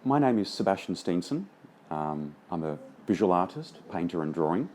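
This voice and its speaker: A man's voice: deep voice, big pitch swings, normal speed, a little mellow, faint music behind. A middle-aged man, a painter, speaking earnestly in a documentary interview, searching for words. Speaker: visual artist.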